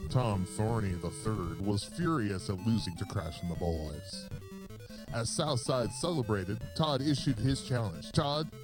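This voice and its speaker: A man reading a video game's story aloud in a playful, hammy way. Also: medium pitch. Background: video game music.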